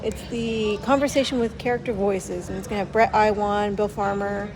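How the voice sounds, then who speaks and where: A woman's voice: mid-range voice, fairly even intonation, moderate pace. A middle-aged woman chatting casually as co-host of a vlog, giving walkaround commentary. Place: busy indoor convention center. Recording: music and loud crowd noise behind. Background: music and crowd chatter.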